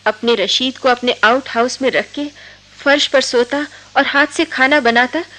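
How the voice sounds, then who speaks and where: High-voiced, impassioned young woman in love. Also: unhurried, bright, forceful tone, low-quality recording. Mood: agitated, indignant. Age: young adult. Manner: impassioned, theatrical delivery. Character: lovestruck, defiant young college woman from a wealthy home. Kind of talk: Hindi radio drama acting.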